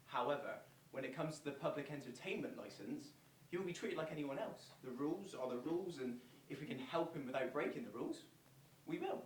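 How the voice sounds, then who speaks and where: A man playing a measured, rule-bound council official, speaking formally in a stage monologue. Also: fairly high pitch, expressive, close to an everyday voice. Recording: distant, echoey, noisy stage recording.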